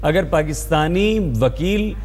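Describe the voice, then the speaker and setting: A man's voice: fairly high pitch, slow, a little mellow, big-room sound, slight background noise. A man working as a television news anchor, summarizing and putting insistent questions to a guest.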